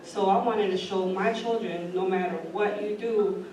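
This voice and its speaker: A woman's voice: medium pitch, steady tone, normal speed, a crowd in the background. A woman from Los Angeles, a police officer, giving an unscripted answer on a panel. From Los Angeles, California. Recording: echoey hall, distant mic, background noise.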